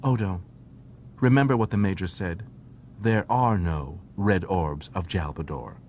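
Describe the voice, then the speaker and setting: A man's voice: a little slow, darkish tone. Man voicing a sly, brazen woman swindler. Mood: sly, flippant. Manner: breezy, teasing evasiveness. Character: wily, brazen female con artist, sly and flippant. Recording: noisy track with low rumble.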